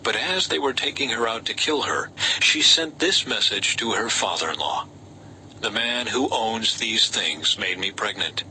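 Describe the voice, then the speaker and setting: A man reads a Bible story as scripted narration, in a measured storytelling way with lightly dramatized dialogue. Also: lowish voice, expressive, pushed and bright, low-quality recording. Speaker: audiobook-style scripture reader. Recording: faint background noise.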